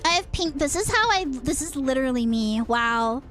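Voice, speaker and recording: High-voiced woman streamer, casual. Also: expressive, normal speed, a bit bright, soft background music. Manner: swears casually, blurts reactions; reads on-screen game text aloud between comments. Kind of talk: casual live-stream gaming chat.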